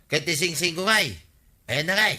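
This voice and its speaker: A man's voice: on the high side, expressive, normal speed, bright, forceful tone, slightly rough, full character voice. An actor playing an aggrieved, gossipy village man in an Ilocano radio comedy drama, with an Ilocano accent and a complaining, exclamatory manner. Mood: indignant. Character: aggrieved, gossipy village man demanding his lost things back.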